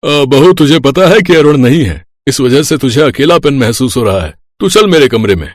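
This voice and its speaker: A man's voice: mid-range voice, expressive, normal speed, slight background noise, close to an everyday voice. A man voicing a sly, lecherous older father-in-law in a cartoon, with a coaxing, smarmy manner. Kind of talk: cartoon dubbing.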